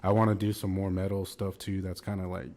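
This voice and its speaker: Young male rapper guest, deep and low, relaxed slangy delivery, casual podcast talk. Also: fairly quick. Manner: laid-back, slangy, frequent "man" and "you know".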